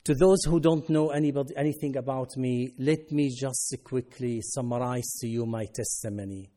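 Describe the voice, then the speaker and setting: Man, from Syria, Arabic native speaker, mellow, earnest testimony, halting English. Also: mid-range voice. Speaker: ministry worker. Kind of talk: personal testimony talk.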